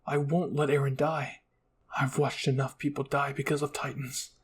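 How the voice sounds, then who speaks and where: A man's voice: mid-range voice, little pitch movement, normal speed, husky, natural voice. A man voicing the inner thoughts of a wounded young girl fighter, anguished and determined, in a dramatic fan fiction. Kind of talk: first-person inner monologue, ASMR fan fiction.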